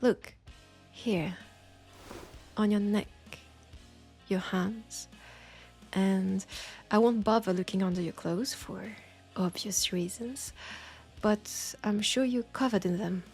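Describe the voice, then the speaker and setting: Woman acting a knowing witch, French accent, breathy and creaky, unhurried, teasing, compassionate, intimate audio drama, music underneath. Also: medium pitch, darkish tone. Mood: knowing, mysterious. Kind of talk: intimate roleplay audio drama, speaking to the listener.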